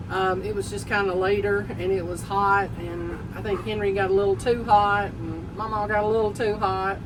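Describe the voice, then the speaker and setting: A woman's voice: medium pitch, distant mic, background noise. An older woman from Tennessee with a strong Southern accent, chatting casually on a travel vlog with many ums. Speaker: vlog co-host.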